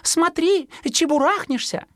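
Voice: very high-pitched, measured pace, a bit bright, no room sound, clean studio sound, voice pushed up. A narrator voicing an anxious, protective mother sparrow with fussy, chirping warnings in a children's storybook. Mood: fretful. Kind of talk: children's audio storybook character acting.

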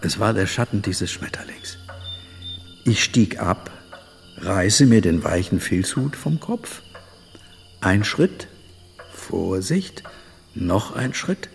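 A man's voice: lowish voice, lively intonation, gravelly, big-room sound, faint music behind, full character voice. An actor playing an older, reflective adventurer and butterfly collector in a radio drama, musing and telling his tale dramatically. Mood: suspenseful. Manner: musing, dramatic storytelling with pauses and interjections. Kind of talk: radio drama monologue, storytelling.

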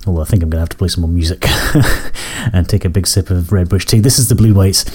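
A Scottish man hosting a music podcast, croaky from a cough, chatting in a rambling, self-correcting, apologetic way between tracks. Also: sits low, quick pace, a little mellow. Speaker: podcast host. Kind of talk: casual radio-style chat between tracks.

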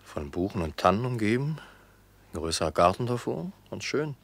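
A man's voice: fairly low pitch, sing-song, moderate pace, vocal fry, a bit bright, a little hiss or hum, exaggerated voice. An actor playing a breezy, self-satisfied male travelling salesman in a radio drama, speaking in casual, clipped phrases. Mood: curious musing. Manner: casual, clipped musing to himself. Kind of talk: German radio drama acting.

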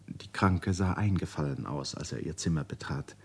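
A man with a husky voice giving measured, serious literary narration for a radio drama. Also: extremely low pitch.

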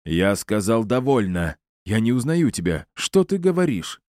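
A man's voice: on the low side, sing-song, measured pace, a little mellow, no echo, studio quality, voice pushed up. A man voicing a stern, hot-tempered father in an audiobook, barking out commands. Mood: furious.

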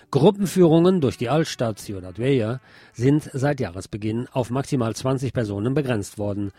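A man presenting scripted news items in a measured, enumerating way, as a radio presenter. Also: mid-range voice, mellow, breathy edge, dry recording.

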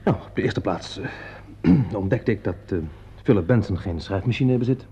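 An actor playing an earnest, touchy young amateur detective in a radio play, defensive and self-important. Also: male voice, lowish voice, moderate pace, warm tone, breathy edge. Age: young adult. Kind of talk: radio play acting in Dutch. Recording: dull lo-fi radio play recording.

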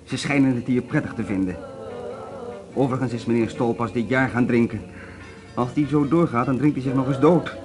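A man's voice: medium pitch, a little fast, lightly acted. Male actor doing servile gossipy hotel staffer, radio play, music playing behind. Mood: discreet gossip. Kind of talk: Dutch radio drama acting.

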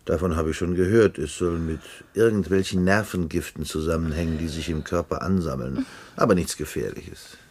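An actor playing a weary, resigned outpost chief on a dying Earth in a 1970s German sci-fi radio drama. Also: male voice, low voice, normal speed, a little mellow, husky. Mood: weary, calm.

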